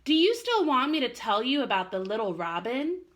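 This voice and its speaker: A woman voicing a sweet, patient mother raccoon who gently explains things in a picture book. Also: on the high side, sing-song, moderate pace, pitched above the performer's own voice. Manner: gentle explaining in storytelling phrases. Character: sweet, patient mother raccoon telling her cub a tale.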